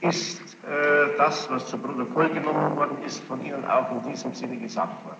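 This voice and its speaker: A man questioning a witness as a judge in formal courtroom style, insistent and deliberate, repeating answers back. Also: on the high side, vocal fry. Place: large echoey courtroom, archive tape. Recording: muffled old tape, echoey hall, hiss.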